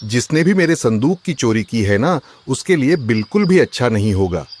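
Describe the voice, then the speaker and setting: A man playing an agitated, suspicious rich merchant husband in a cartoon, loud and complaining. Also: medium pitch, very animated, normal speed, slight background noise, natural voice. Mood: upset. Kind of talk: Hindi cartoon story voice acting.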